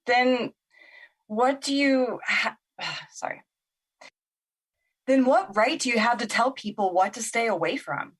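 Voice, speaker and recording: A woman's voice: on the high side, slow pace, pauses often, husky. A woman playing a bitter, sarcastic young woman in a naturalistic audio drama, exasperated in manner. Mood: hurt, challenging. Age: young adult.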